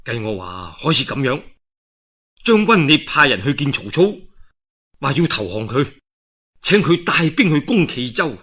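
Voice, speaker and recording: A man voicing a shrewd, calm military strategist in an oral historical tale, measured and persuasive, with a Guangdong Cantonese accent. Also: mid-range voice, slightly rough, exaggerated voice. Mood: calm scheming. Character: shrewd, calm male military strategist advising his lord.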